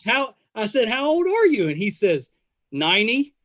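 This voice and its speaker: A man's voice: sits high, very animated, normal speed, fairly bright tone. A man in his fifties hosting a casual interview, chatty and self-deprecating as he tells anecdotes. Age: 50s. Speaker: podcast host.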